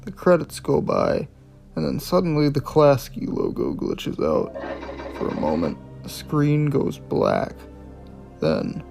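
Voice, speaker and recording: A man's voice: a little high, creaky, darkish tone. A man hosting a casual video essay on horror clips, speaking in an informal, opinionated way with plenty of swearing. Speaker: host of a video series reviewing analog horror.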